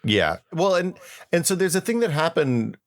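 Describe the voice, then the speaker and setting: A man's voice: a little high, very animated, moderate pace. A middle-aged American man co-hosting a podcast, chatting casually and reminiscing. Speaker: podcast co-host.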